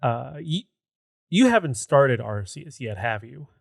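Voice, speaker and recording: A man's voice: mid-range voice, big pitch swings, measured pace, vocal fry, dry recording, studio quality. A man co-hosting a gaming podcast, chatting casually in a conversational, rambling way. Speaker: podcast co-host.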